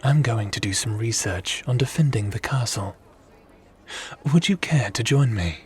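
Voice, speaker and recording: A man playing a calm, curious, formal god-like spirit in an ASMR audio roleplay, speaking in measured, pensive phrases. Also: mid-range voice, husky. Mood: calm, studious.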